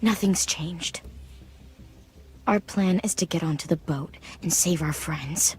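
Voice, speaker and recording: A woman's voice: lowish voice, slow, darkish tone, breathy edge, heavily acted. A woman playing a determined, caring teenage girl survivor in a game drama, speaking earnestly and firmly. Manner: earnest, firm. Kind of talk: video game dramatic acting.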